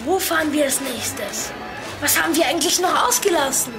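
A woman playing a plucky, brisk young boy adventurer in a children's audio drama, using short, direct phrases. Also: high-pitched, lively intonation. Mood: curious, easygoing. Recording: loud music behind the voice.

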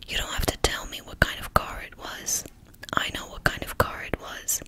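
A woman's voice: moderate pace, pushed and bright, close to an everyday voice. A woman voicing a grief-stricken man, spoken in a whisper. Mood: distraught.

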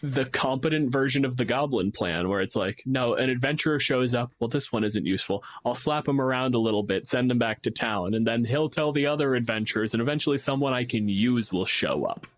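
A man's voice: mid-range voice, a little fast, few pauses, no echo. A man co-hosting a podcast in casual, slangy, sweary chat, often acting out imagined lines. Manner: profane, slangy, acts out imagined quotes. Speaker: podcast co-host. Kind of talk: casual, animated chat about fantasy game monsters.

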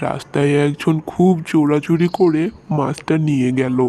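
A man voicing a gentle, hardworking village fishmonger and doting father in a cartoon, speaking in a soft-hearted, reassuring way. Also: on the high side, moderate pace, faint music behind, natural voice. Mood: resigned, earnest. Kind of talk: Bengali moral-tale cartoon dub.

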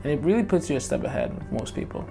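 A man's voice: fairly high pitch, expressive, racing, gravelly, low-quality recording. A young man who grew up in Congo, speaking earnestly and cheerfully about his life in an interview. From Democratic Republic of Congo. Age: young adult. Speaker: interviewee, college student. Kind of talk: personal testimony to camera. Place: indoor studio against a light wall. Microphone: lapel mic. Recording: guitar music underneath.

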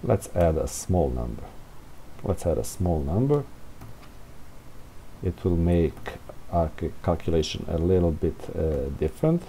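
Low, mellow, slow-talking man thinking aloud. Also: animated, creaky. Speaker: presenter of a programming tutorial. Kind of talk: informal unscripted screencast walkthrough of code. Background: room heater hiss.

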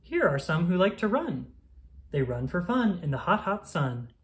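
A woman with a low-pitched voice reads a rhyming picture book aloud. Her delivery is singsong and expressive, moving animatedly up and down as a storyteller for children. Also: moderate pace.

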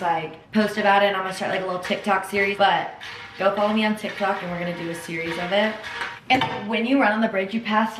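A woman's voice: medium pitch, a bit bright, roomy sound, a little hiss or hum, low-quality recording. A young woman, chatting casually to the camera in a vlog, upbeat and full of "like" and "y'all". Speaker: vlogger. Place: home kitchen and apartment balcony.

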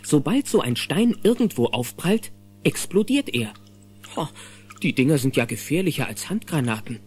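A man's voice: on the high side, very animated, moderate pace, a little mellow, slight background noise. A man narrating a children's audio drama in a dramatic, playful scripted storytelling style. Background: faint music.

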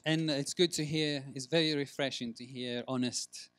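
A man presenting at a conference, stressing key words deliberately and posing rhetorical questions. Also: mid-range voice, steady tone, a bit bright, no echo. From Greece. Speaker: researcher giving a conference talk. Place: large conference room, at a lectern.

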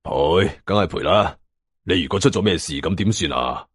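A man with a Hong Kong Cantonese accent voicing a calm, dry, loyal male companion in an audio story. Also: sits low, normal speed, husky, no echo, pitched below the performer's own voice.

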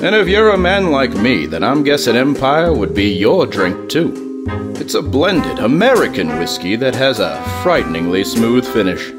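A man as an animated vintage radio pitchman. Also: normal speed, natural voice. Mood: self-assured. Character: smooth-talking, self-assured TV star whiskey pitchman. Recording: guitar music behind.

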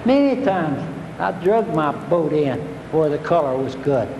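Older man, fairly high voice, folksy seminar speaker, recorded in a reverberant hall with faint hiss. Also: sing-song, a little slow, vocal fry, a little mellow. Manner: homespun, repetitive, plainspoken. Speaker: fishing instructor.

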